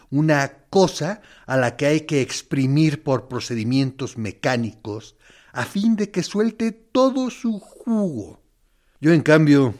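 Radio drama role: male actor as a mock-courteous, menacing interrogator, mid-pitched, very animated intonation, patronising and insinuating. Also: close to an everyday voice. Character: smooth menacing male interrogator feigning reason and kindness.

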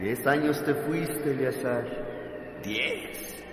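A man's voice: mid-range voice, extremely slow. Goading male voice in cavernous echo. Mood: reproachful, goading. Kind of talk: radio drama monologue. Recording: heavy echo and reverb, noisy.

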